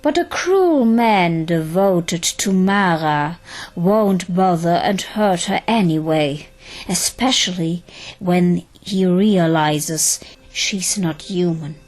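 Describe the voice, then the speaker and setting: Slow-paced woman narrating an audio drama. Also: lowish voice, lively intonation, a little mellow, poor audio. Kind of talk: scripted dramatic story narration. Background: faint music.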